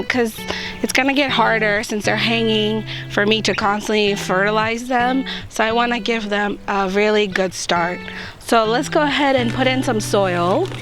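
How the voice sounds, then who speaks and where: A woman with a slightly raised, hoarse voice talks a gardening vlog through step by step, upbeat and chatty. She is recorded outdoors, with clear music playing under her voice. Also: fairly high pitch. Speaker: gardening channel host. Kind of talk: casual how-to chat to camera. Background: background music.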